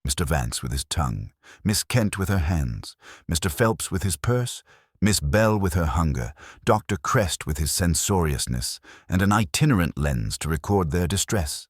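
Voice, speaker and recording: A man voicing an imperious, pedantic older detective in an audiobook, speaking in formal, measured, pompous sentences. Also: sits low, big pitch swings, breathy edge, dry recording, clean studio sound, heavily acted. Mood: dry disdain.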